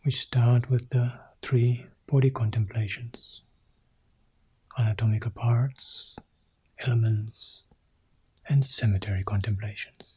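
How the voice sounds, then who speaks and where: A man guides a meditation in a very dark, mellow voice. His delivery is calm and slow, in short phrases separated by long pauses. The tone is soft and breathy, with a husky edge. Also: mid-range voice. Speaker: meditation teacher guiding a practice.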